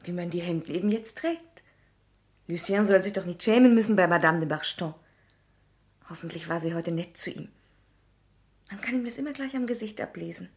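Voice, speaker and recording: An actress playing a devoted, modest young working-class sister in a German radio drama, earnest and heartfelt. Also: female voice, fairly low pitch, brisk, long pauses, darkish tone, lightly acted. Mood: tender, concerned.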